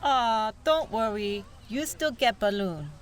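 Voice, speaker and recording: High female voice, bossy cheery games organizer acted by a narrator, clipped broken English, commanding, audiobook character. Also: expressive, slow. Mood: consoling.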